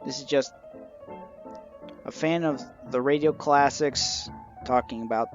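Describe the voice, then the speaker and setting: A man giving an informal review monologue, with somewhat halting phrasing and occasional stumbles. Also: mid-range voice. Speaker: amateur reviewer of old radio shows. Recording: dull lo-fi recording, music behind. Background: music.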